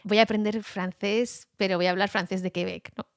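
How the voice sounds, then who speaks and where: Lively woman guest, dry close sound. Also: medium pitch, brisk, dry recording. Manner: animated, laughs often, mimics accents. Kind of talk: casual conversation.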